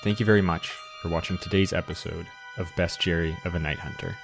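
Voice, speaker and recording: A man's voice: low voice, steady tone, fast, vocal fry. A man reading a scripted outro thanking viewers, as an announcer. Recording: music playing behind the voice.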